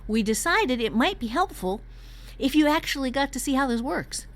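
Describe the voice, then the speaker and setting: A woman's voice: high voice, lively intonation, normal speed. An older woman, a writing teacher, talking casually on a podcast in a candid, emphatic, salty way.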